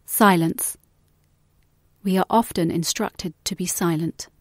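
A woman reading a reflective book excerpt as a guest reader, in a measured, contemplative way. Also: fairly low pitch, fairly even intonation, no echo, clean studio sound.

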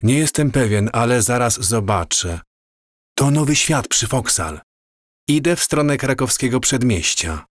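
A man playing a dreamy, awestruck wanderer in an audio drama, with a measured, wistful, descriptive delivery. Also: medium pitch, animated, no echo, clean studio sound. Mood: quietly amazed.

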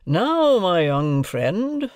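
A man performs a domineering, middle-aged lawyer in an audiobook. He is brusque and emphatic, as if questioning a witness. The pitch is high and swings widely in a dry, close recording. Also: sing-song, clear, resonant tone, dry recording, exaggerated voice. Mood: brisk, commanding. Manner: cross-examining, emphatic, deliberate. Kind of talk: audiobook character dialogue.

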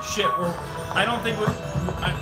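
An American man hosting a casual livestream with live play commentary, excitable and given to exclamations and swearing. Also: high voice, normal speed, low-quality recording. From grew up in Wisconsin, United States. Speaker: livestream host. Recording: loud music behind the voice. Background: loud music, slot machine game audio.

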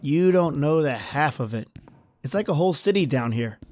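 A man playing a gruff, impatient building engineer in an old-time radio drama, talking curtly and bossily. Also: a little high, expressive, moderate pace, a little mellow, natural voice. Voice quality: curt, bossy. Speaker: host and actor.

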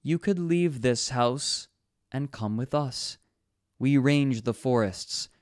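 A dignified, hypnotic female alpha wolf in a storybook, as a male narrator puts her on. Her voice sits clearly higher than the reader's own, slow and coaxing, with a close, dry sound. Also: dry recording, close to an everyday voice. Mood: tempting.

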